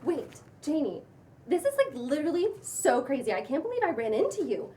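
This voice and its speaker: A woman's voice: high-pitched, sing-song, mellow. A woman acting a bubbly influencer in her thirties with an intense streak, gushing and slangy, in a cold script read. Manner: gushing, drawn-out exclamations, casual slangy talk. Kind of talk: cold table read of a comedy pilot. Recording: distant room mic, faint background noise.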